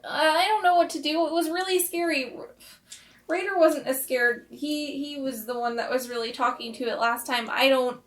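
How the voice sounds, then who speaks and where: A woman playing a nervous, shaken young girl in a tabletop game, speaking in a halting, uncertain way. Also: sits high, a little hiss or hum, natural voice. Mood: unsure, scared. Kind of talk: tabletop roleplay.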